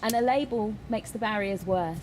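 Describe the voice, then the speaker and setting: A woman voicing a signed personal statement as an interpreter, in halting phrases with pauses. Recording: clear background noise, ambient rumble.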